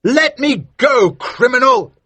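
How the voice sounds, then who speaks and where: A shrill, stammering magistrate, pompous yet cowardly. The voice sits far above a man's usual pitch and swings wildly up and down. Bright and thin, recorded dry. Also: very animated, unhurried, slightly rough, no room sound, heavily acted. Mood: pompous threatening. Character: pompous, cowardly male magistrate, middle-aged.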